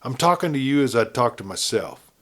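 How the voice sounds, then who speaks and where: A man's voice: medium pitch, expressive, fairly quick, fairly bright tone. A man voicing a ruthless, blunt outlaw gang leader with a folksy cowboy drawl in a Western story. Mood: scheming, insistent.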